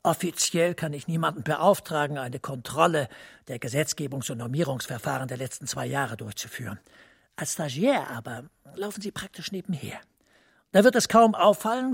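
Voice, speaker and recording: Casting: middle-aged senior official, radio drama. Very raspy, breathy, creaky, measured, quietly authoritative, close and dry. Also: male voice, fairly high pitch, dry recording, exaggerated voice. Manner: precise, measured, confidential. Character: professor and senior EU official, composed, discreet, authoritative. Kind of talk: German radio drama acting.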